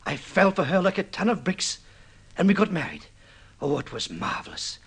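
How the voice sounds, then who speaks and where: An actor playing an excitable, obsessive newlywed man in an old-time radio drama, halting and stammering as he tells his story. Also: high voice, slightly rough, heavily acted. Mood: wistful, eager. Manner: halting, stammering repeats, swinging into boastful excitement.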